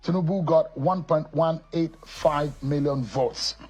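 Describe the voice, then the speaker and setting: A man's voice: a little high, measured pace, a little mellow, husky, soft background music. A man interviewing a political guest, reading out vote figures and challenging his claims.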